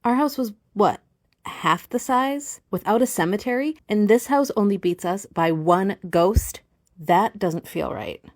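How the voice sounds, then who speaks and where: A woman's voice: medium pitch, a little slow, no echo. A woman playing a dry, skeptical adult family member in audio play dialogue.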